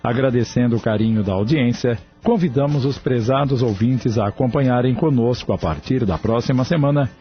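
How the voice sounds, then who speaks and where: A man's voice: medium pitch, fairly quick, few pauses. A Brazilian man announcing in a formal, scripted radio style. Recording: dull radio recording with faint music. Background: soft background music.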